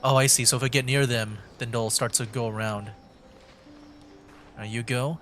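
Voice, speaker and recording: A man's voice: mid-range voice, fairly even intonation, moderate pace, fairly bright tone, soft background music. Man thinking aloud while gaming. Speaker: streamer hosting a video game livestream. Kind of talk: casual gameplay commentary.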